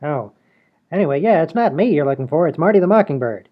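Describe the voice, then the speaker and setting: A man's voice: fairly high pitch, animated, quick pace. A man voicing a brash, scheming small-time crook in a comedy radio play. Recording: dull lo-fi audio.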